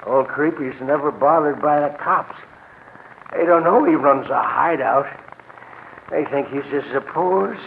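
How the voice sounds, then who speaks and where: Sly, greedy old hideout keeper. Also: male voice, fairly high pitch. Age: older. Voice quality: sickly cough-prone old voice. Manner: sly, needling, mercenary. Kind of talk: 1940s radio crime drama acting. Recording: noisy, narrow old-time radio recording.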